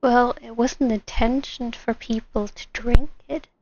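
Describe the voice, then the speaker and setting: High-voiced woman streamer, unhurried. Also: fairly even intonation, a little mellow. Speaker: game streamer. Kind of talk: casual reactions while streaming a game. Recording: dull lo-fi mic, faint noise, clicks.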